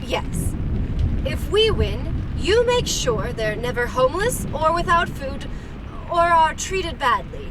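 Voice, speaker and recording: High-voiced, chiding wife played by a woman. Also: sing-song, slow pace, noisy background, exaggerated voice. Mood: determined. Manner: chiding, pointed, practical. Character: brisk, nagging, determined wife and mother. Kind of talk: comic radio play acting.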